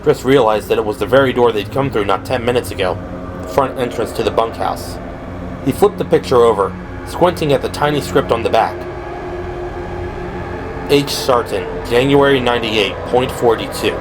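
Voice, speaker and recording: A man's voice: mid-range voice, fairly quick, background noise. A man delivering scripted, dramatic narration for an audio drama. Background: dramatic background music.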